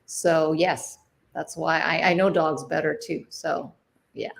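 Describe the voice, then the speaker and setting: A woman's voice: low voice, fairly even intonation, takes it slowly, vocal fry. A middle-aged woman from Hawaii, a jazz artist speaking as a guest in a casual interview, thoughtful, with many fillers and restarts.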